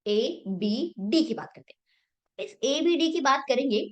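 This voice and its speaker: Young female math tutor, sore throat, fairly high voice, talks through each step and answers her own questions. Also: animated, measured pace. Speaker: math teacher. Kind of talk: Hindi-English math lesson.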